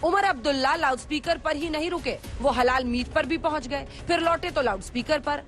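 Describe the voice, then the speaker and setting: A high-pitched woman delivers a scripted news report. Her narration is emphatic and dramatic, with music playing faintly underneath. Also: a little fast, fairly bright tone. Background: background music.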